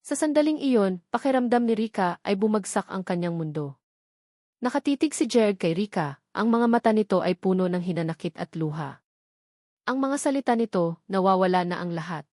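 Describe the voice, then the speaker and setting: A woman narrating a scripted romantic drama story in a measured, dramatic storytelling manner. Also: mid-range voice, lively intonation, warm tone, no room sound, studio quality.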